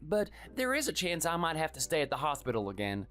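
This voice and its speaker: A performer voicing a meek, sickly man in his thirties who speaks softly and hesitantly, always apologizing. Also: on the high side, huge pitch swings, brisk, soft background music. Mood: pleading. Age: 30s. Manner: soft, hesitant, pleading. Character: meek sickly young husband, timid and apologetic.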